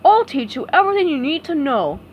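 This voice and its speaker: A woman doing a loud dopey starfish guy. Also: high-pitched, very animated, fast talker, muffled recording, slight background noise, pitched above the performer's own voice. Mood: excited. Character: dim, excitable male starfish friend.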